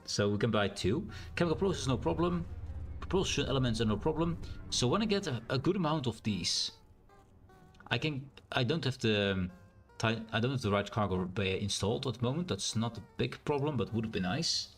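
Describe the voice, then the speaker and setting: A man with a naturally loud voice and a strained, sore throat, thinking aloud in a rambling way as he streams a game. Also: mid-range voice, lively intonation, brisk, faint music behind. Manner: rambling, thinks aloud with fillers and self-corrections. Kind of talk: casual live-stream gameplay commentary.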